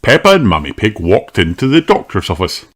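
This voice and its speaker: A man narrating a children's storybook in an expressive, deliberate way, with music behind him. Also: lowish voice.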